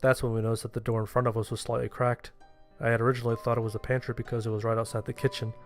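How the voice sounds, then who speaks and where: A man's voice: mid-range voice, level tone, rapid-fire, faint music behind. A man reading a scripted first-person horror story as its narrator.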